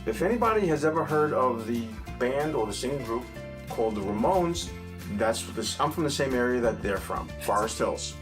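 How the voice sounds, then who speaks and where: A man's voice: medium pitch, expressive, moderate pace, music in the background. A middle-aged man from New York with a New York accent, chatting as an interview guest and cracking playful jokes to the audience. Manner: joking, playful asides to an imagined audience. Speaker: guest, accountant. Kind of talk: casual interview chat.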